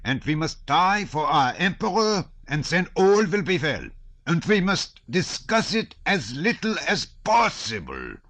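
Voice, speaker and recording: A man's voice: fairly high pitch, sing-song, normal speed, pushed and bright, dry recording, pitched above the performer's own voice. A man voicing a pompous old hussar colonel. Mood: emphatic. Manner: emphatic, declaiming, dwelling on words.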